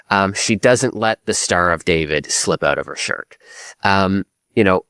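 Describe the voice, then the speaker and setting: A Canadian man answering interview questions in a grave, measured way, slowing down to stress words. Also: low-pitched, fairly bright tone, dry recording. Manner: grave, measured, slows for emphasis. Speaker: guest writer.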